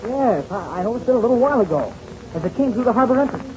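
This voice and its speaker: An actor playing an eager, boyish young American pilot in a 1930s radio adventure serial, with a wholesome, exclamatory manner. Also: male voice, very high-pitched, animated, fast. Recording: noisy, hissy old radio recording.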